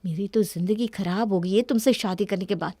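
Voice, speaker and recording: A woman's voice: medium pitch, fairly quick, warm tone, no room sound, studio quality, close to an everyday voice. A woman voicing a spoiled, defiant young wife in a cartoon story, arguing in a dismissive, indignant way. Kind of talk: Hindi cartoon story dialogue.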